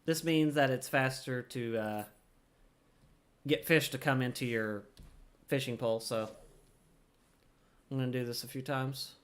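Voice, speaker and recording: A man's voice: medium pitch, steady tone, measured pace, long pauses. A man giving casual gameplay commentary, explaining things informally and thinking aloud as he plays. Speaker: gamer, streamer explaining gameplay.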